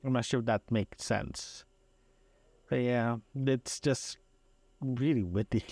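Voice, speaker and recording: A man's voice: mid-range voice, gravelly, a little mellow. Guy doing a casual rambly podcast diary, stop and start talking, repeats himself, dry sound no room echo. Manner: halting, repetitive, self-interrupting. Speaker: podcast host.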